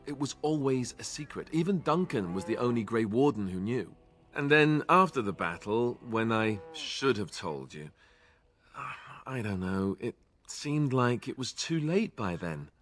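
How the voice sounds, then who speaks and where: An actor playing an awkward, earnest young warrior and reluctant heir in a video game, hesitant and self-deprecating. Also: male voice, mid-range voice, sing-song, a little slow, fairly bright tone, faint music behind. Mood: apologetic, hesitant. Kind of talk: naturalistic video game acting.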